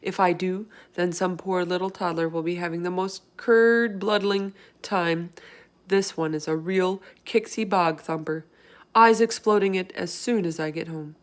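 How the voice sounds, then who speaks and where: A woman acts out a kindly storybook giant with a folksy, ungrammatical way of talking. The giant is excitable and easily distressed, full of exclamations and whimsical invented words. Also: on the low side, measured pace, natural voice. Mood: exasperated, dire. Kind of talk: children's book character in a read-aloud.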